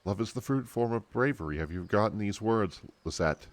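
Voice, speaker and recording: A man's voice: lowish voice, animated, a little fast, dry recording, lightly acted. A man voicing a loyal, earnest noblewoman mentor from a fantasy game, in stately, old-fashioned phrasing. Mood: stirring.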